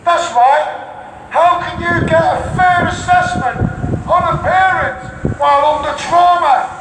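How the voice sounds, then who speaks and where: High, echoing Scouse man on a megaphone. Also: slow pace. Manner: confrontational, taunting with repeated rhetorical questions. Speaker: street campaigner and protester. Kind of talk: megaphone protest speech. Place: outdoors on a London city street outside an office building. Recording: echoey megaphone outdoors, distant, traffic noise.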